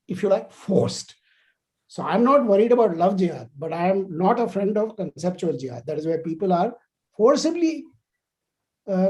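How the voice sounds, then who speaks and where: A man giving an academic lecture and answering questions over an online call, speaking in a measured, emphatic, explanatory way. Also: high voice, dark tone, rough recording. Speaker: guest lecturer. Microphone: online video call.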